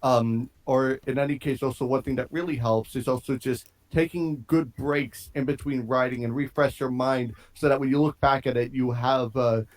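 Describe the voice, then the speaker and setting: A man's voice: mid-range voice, steady tone, normal speed, few pauses, rough recording. A man in a casual panel chat, giving advice in a polite, hesitant way. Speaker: panelist on a reaction show.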